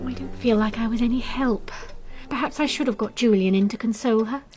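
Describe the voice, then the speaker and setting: An actress playing a kindly, earnest, self-doubting English vicar's wife in a radio drama, polite and a little hesitant. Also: female voice, a little high, fairly quick, a little mellow, soft background music, lightly acted. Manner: polite, hesitant, well-meaning.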